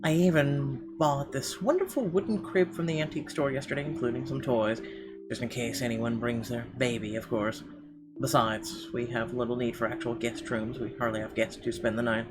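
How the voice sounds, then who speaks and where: A man's voice: medium pitch, steady tone, moderate pace. A man hosting a gaming video, reading visual novel narration aloud with conversational asides. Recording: game music under the voice.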